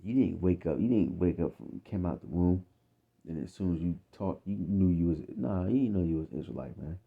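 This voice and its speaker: A man with a very low voice and an American accent teaches scripture off the cuff. He talks bluntly, with swearing, repeated phrases and frequent check-ins. The sound is thin and muffled, as if over a phone. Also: expressive, brisk, creaky, breathy edge. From United States. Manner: blunt, profane, repetitive street-talk delivery with frequent check-in phrases. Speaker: religious teacher. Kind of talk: informal off-the-cuff religious teaching.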